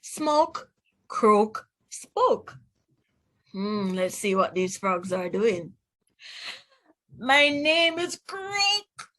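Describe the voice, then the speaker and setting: Slow, high-voiced Jamaican woman teaching reading. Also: very animated, fairly bright tone. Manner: instructive, enunciates rhyming words, asks the listener questions. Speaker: teacher reading aloud to children. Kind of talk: children's read-aloud phonics lesson.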